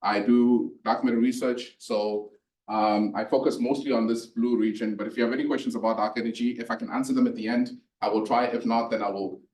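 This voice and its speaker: A man from South Africa with a South African accent, whose first language is Northern Sotho, giving a relaxed physics lecture with a storytelling touch and frequent fillers. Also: mid-range voice, a little fast. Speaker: guest lecturer. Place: university lecture hall. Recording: echoey lecture hall from a distance.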